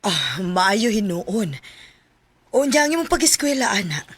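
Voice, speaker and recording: A worn-down, gentle mother in a radio drama. Her husky voice moves through wide, lively swings of pitch. She sounds tender and apologetic. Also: unhurried, no room sound. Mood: tender, concerned. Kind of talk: Filipino radio drama acting.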